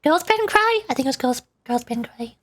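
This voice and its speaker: A woman's voice: high voice, big pitch swings, fairly quick. A woman VTuber chatting casually to livestream viewers in a rambling, playful way, often repeating words. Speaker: VTuber streamer. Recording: background music. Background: music.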